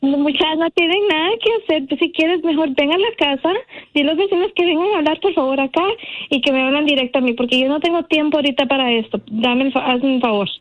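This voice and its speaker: A woman's voice: sits high, fast. A woman with a Guatemalan accent talking casually on a phone call to a radio show. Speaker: prank call target.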